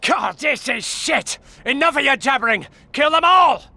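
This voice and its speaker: A man's voice: extremely high pitch, animated, moderate pace, vocal fry, pushed and bright, heavily acted. An actor playing a menacing huntsman, a baron's enforcer in a video game, with an imperious, threatening manner. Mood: enraged.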